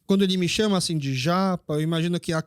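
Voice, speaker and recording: A man hosts a relaxed sit-down conversation. He has a notably high voice for a man. He tells his stories with lively, acted-out dialogue, and the sound is close and dry. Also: quick pace, clear, resonant tone, no room sound. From Brazil. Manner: animated storyteller who acts out dialogue. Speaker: podcast host. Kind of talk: casual conversational interview.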